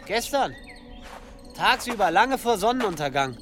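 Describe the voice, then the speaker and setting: An actor playing a helpful pilgrim, an outsider among monks, in a radio drama. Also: male voice, high voice, big pitch swings, measured pace, music in the background. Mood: tentative, helpful. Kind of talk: German radio drama acting.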